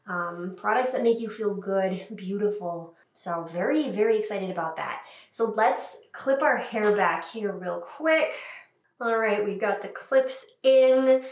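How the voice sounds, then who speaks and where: A young woman with a stuffy cold voice, chatting upbeat through a makeup tutorial with frequent fillers. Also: medium pitch. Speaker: beauty vlogger. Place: small room indoors. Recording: echoey room.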